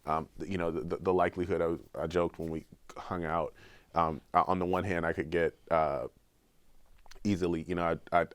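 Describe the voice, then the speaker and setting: A man's voice: deep voice, flat delivery, brisk, no echo. A middle-aged man with a cold, talking earnestly in a podcast conversation and repeating words as he searches for his point. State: has a cold, sniffling. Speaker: guest researcher.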